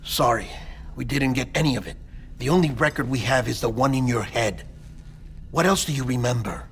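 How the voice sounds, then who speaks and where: A man playing an earnest, analytical official in a video game cutscene, explaining things in a measured, persuasive way. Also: mid-range voice, fairly bright tone, slight background noise, natural voice. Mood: pleading, measured. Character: earnest, analytical male official guiding the hero.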